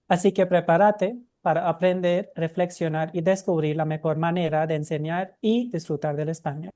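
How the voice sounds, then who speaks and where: A man co-hosting an interview podcast, talking in a conversational way and often tagging questions with a quick "no?". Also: high-pitched, mellow. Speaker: podcast co-host. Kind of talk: casual interview.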